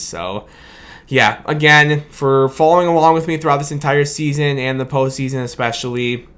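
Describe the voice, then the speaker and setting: Young man, tired, voice going hoarse, rambling, repetitive, fan vlog sign-off. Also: mid-range voice, fairly bright tone. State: tired, losing his voice. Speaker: sports fan video host. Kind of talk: late-night post-game reaction monologue. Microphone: camera mic with his own light.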